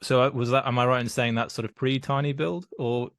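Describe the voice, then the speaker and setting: A man's voice: mid-range voice, fairly even intonation, brisk, fairly bright tone, no room sound, studio quality. A man hosting an interview podcast, asking questions with hesitant restarts.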